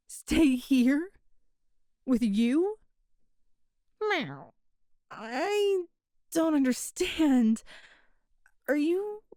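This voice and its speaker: A woman voicing a shy, hesitant young catgirl thief in an ASMR roleplay, speaking softly with stammers and little meows, close to the mic. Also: sits high, dry recording, full character voice. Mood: sheepish. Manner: soft, hesitant, stammering, meows between phrases. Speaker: voice actor.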